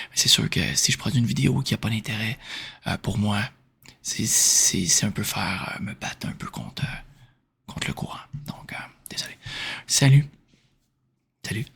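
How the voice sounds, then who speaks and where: A Québécois man speaks softly in an ASMR question-and-answer style. His voice slips into whispers, very breathy with a crackly fry at the edges. He talks informally and hesitantly, improvising as he goes, at a middling pitch. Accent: Québécois French. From Quebec, Canada. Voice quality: whispery, breathy, soft-spoken. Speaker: ASMR video creator answering viewer questions.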